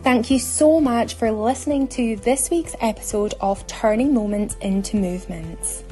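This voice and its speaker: A woman from Scotland with a Scottish accent, talking solo to her listeners as a podcast host and life coach, enunciating deliberately. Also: on the high side, mellow, soft background music.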